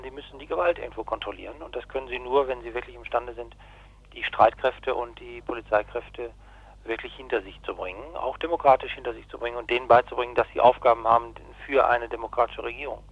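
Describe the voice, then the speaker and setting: A man with a husky voice speaks as a guest in a radio interview. He reasons analytically and hesitates as he thinks aloud. The recording is dull and lo-fi. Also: mid-range voice, steady tone, brisk, no echo. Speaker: interview guest, election observer.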